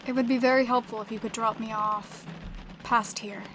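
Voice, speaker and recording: A woman acts out a mysterious teenage girl in an audio drama. Her voice sits a little high, and she is cool, polite and guarded, with clipped, evasive phrasing. Rain and car rumble are clearly audible behind her. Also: steady tone, normal speed, fairly bright tone, close to an everyday voice, poor audio. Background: rain and vehicle sounds.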